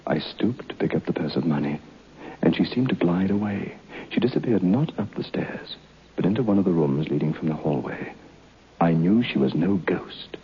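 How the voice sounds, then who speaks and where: An actor playing a solemn, haunted man who recounts a ghost story, deliberately and dramatically, in an old radio horror play. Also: low voice, lively intonation, a little hiss or hum. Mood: tense. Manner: deliberate, dramatic, clipped phrases. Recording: muffled old radio recording.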